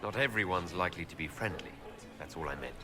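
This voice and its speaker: A sincere elven hero from a fantasy game, in a low voice that moves expressively. He speaks directly and tenderly, with gentle teasing. Faint music sits behind him. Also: expressive, quick pace. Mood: gently teasing. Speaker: player character in a fantasy role-playing game. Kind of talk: video game character dialogue.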